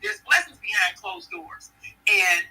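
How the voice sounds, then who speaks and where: A woman in her forties with a loud voice, an author giving a motivational interview answer with rhetorical call-and-response questions, heard over a phone. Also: medium pitch, big pitch swings, a little slow, slightly rough. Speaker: author guest. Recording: tinny phone line.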